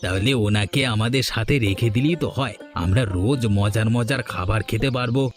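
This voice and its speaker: A man's voice: on the low side, brisk, soft background music, close to an everyday voice. Easygoing village husband, played by a man. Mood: agreeable. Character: easygoing village husband and father, fond of food. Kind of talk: cartoon voice acting.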